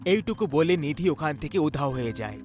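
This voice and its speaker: A man's voice: a little high, sing-song, fast, a little mellow. A man narrating a scripted horror story in a dramatic storytelling style. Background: background music.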